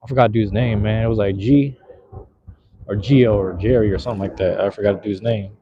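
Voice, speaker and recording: A man's voice: on the low side, fairly even intonation, brisk, slight background noise. A young man hosting a casual live chat from a phone, outdoors at night, talking in a laid-back, slangy, rambling way. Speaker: livestream host. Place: outdoors at night in a park, cold drizzle. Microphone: handheld phone. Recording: slightly dull lo-fi phone audio.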